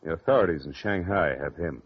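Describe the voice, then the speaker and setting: An actor playing a hard-boiled, taunting government investigator in a 1940s radio drama, clipped and tough. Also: male voice, deep voice, fairly quick, husky. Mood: coolly triumphant. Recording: dull vintage radio recording.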